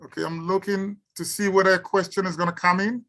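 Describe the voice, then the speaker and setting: A woman's voice: medium pitch, steady tone, quick pace, fairly bright tone. A woman from Cameroon with a Cameroonian accent, giving an unscripted symposium talk and taking questions, often stumbling and repeating words. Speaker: symposium speaker and researcher.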